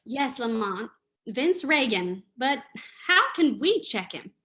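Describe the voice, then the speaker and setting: An actress playing an alert, loyal detective's assistant in an old-time radio drama, earnest and attentive. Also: female voice, fairly high pitch, very animated, slow, pushed and bright, full character voice.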